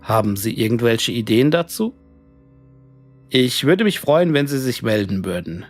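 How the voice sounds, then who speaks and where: A man voicing a worried, sober widowed father in his forties, reading his formal, measured letter in a horror story. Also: mid-range voice, lively intonation. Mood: earnest, troubled. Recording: music bed under the voice.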